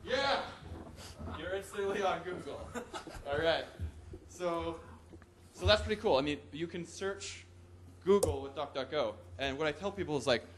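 A man's voice: fairly high pitch, lively intonation, measured pace, long pauses, noisy background. A young man giving a loose, joking talk in a conference hall, with whoops and laughs, into a podium microphone. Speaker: conference presenter. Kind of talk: casual live tech talk.

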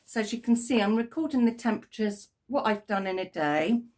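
A middle-aged British woman, croaky from a cold, chatting to camera in her home craft room about her crochet project, rambling with ums and corrections. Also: fairly high pitch, expressive, a little fast, a little mellow. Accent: British English. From United Kingdom. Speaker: crochet vlogger. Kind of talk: casual chat to camera. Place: home craft room with yarn shelves, indoors. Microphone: webcam at desk, framed from the chest up.

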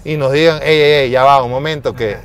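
A man's voice: medium pitch, steady tone, fairly quick. A man in his forties speaking emphatically as a guest in a conversational interview. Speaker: interview guest, financial executive. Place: inside a moving car. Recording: faint vehicle and street noise.

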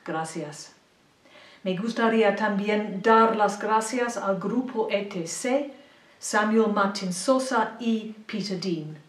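An older woman works through a lecture slide by slide, slowly and carefully, with the phrasing of someone speaking a second language. Her voice sits at a middle pitch. The sound is roomy and a little distant, as if the mic is set back from her. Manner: careful, deliberate non-native phrasing. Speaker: presenter. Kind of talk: slide lecture read point by point. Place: small indoor room with a plain wall.

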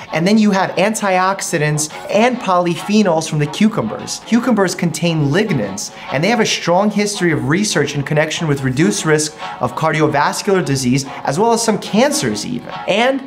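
A man's voice: sits high, expressive, moderate pace, faint music behind. A young man in his twenties, a doctor hosting a casual food vlog from his home kitchen, explaining health benefits in an upbeat, easy way. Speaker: doctor and channel host.

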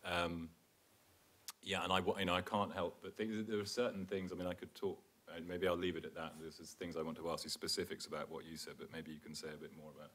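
A middle-aged man with a very low voice, speaking at a table microphone on a lecture theatre stage. He thinks aloud, stopping and restarting with fillers as he searches for the right term. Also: little pitch movement, fast talker, fairly bright tone. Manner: hesitant, with many fillers and self-corrections. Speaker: panel speaker, scholar. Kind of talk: academic panel discussion.

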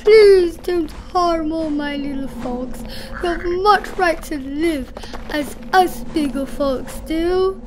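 A woman's voice: sits high, sing-song, slow pace, pitched above the performer's own voice, heavily acted. A woman voicing a kind, protective male elephant in a children's story, earnest, pleading and emphatic. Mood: begging. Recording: clearly noisy recording.

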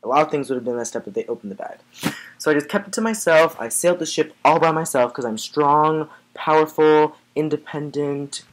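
A man's voice: fairly high pitch, a little fast, lightly acted, rough recording. A man as an arrogant, self-adoring ancient hero vlogging to fans, casual and smug, filler-heavy chatter. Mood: boastful. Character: vain boastful male Greek hero turned vlogger. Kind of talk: comedic parody vlog.

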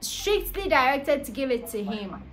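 A woman's voice: sits high, sing-song, unhurried, fairly bright tone, a little hiss or hum. A young woman in her twenties with a Ghanaian accent, making a polite but insistent customer-service style phone call at arm's length from a phone mic in a living room. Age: 20s. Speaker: prank caller, vlogger. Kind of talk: phone prank call posing as a delivery service. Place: small living room, indoors.

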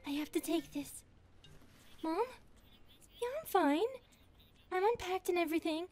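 A woman's voice: very high-pitched, expressive, a little slow, darkish tone, soft background music. A woman voicing a nervous, chatty young grasshopper girl full of self-doubt in an ASMR roleplay. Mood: chatty, excited. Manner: hesitant, chatty. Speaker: roleplay voice actress.

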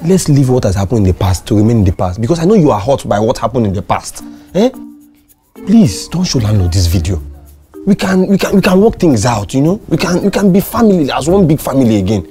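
Woman as an anxious neighbor; pleading, lively pitch, soft music. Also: fairly quick. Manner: pleading, rising to shrill panic. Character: anxious female neighbor, pleading and easily alarmed.

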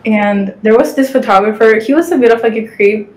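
A young woman whose first language is Spanish, speaking English with a Spanish accent as an interview guest, chatty and quick with lots of "like" fillers, on a video call from home. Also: on the high side, darkish tone. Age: young adult. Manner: chatty, fast, many "like" fillers. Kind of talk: casual interview chat. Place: home room with city windows.

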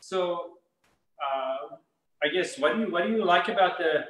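A man co-hosting a casual chat, explaining things in a relaxed way. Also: sits high, measured pace, reverberant, far from the mic, poor audio.

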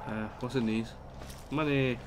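A man's voice: mid-range voice, fairly even intonation, a little slow, heavy background noise. A man with a stuffy nose giving rambling, chatty commentary while playing a video game, exclaiming at what happens. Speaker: let's play host. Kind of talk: casual gameplay commentary.

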